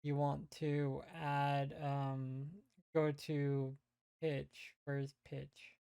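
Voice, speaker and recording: A woman giving a casual, unscripted software tutorial, hesitantly thinking aloud as she goes. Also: extremely low pitch, monotone, extremely slow, creaky, clear, resonant tone, dry recording. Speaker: tutorial presenter.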